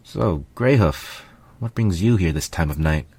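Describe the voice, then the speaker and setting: Man playing a low-voiced, weary pegasus guard. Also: expressive, unhurried, darkish tone, slightly rough, heavily acted. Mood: wary. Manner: sighing, earnest. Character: weary, dutiful young male pegasus royal guard. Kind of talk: acted dialogue in a story reading.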